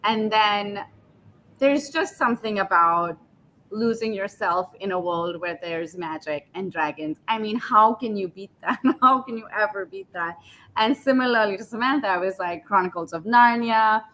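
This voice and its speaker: A woman's voice: medium pitch, normal speed, a bit bright. A woman in her thirties from India, an author chatting on a panel, enthusiastic and thoughtful with frequent "like" and "um". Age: 30s. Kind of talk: casual panel talk.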